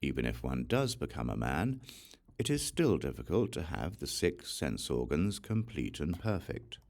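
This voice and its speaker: A man narrating a Buddhist scripture as an audiobook, reciting solemnly in short, measured phrases. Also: very deep voice, big pitch swings, vocal fry, darkish tone.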